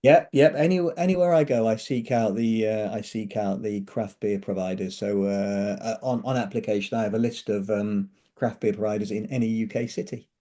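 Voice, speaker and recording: A man's voice: lowish voice, moderate pace, few pauses. A British man co-hosting a podcast, telling stories with enthusiasm. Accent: British English. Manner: enthusiastic storyteller, lively. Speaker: podcast co-host. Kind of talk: casual podcast chat.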